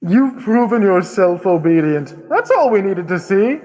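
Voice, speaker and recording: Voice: mid-range voice, big pitch swings, a little slow, darkish tone, soft background music. A performer voicing a cold, commanding villain in a condescending manner, with a reverberant echo.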